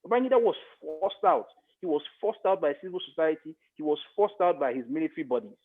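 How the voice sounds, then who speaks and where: A man's voice: on the high side, animated, quick pace, phone-quality sound. A middle-aged Nigerian man giving emphatic political commentary as an interview guest, repeating phrases for stress. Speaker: interview guest, media expert.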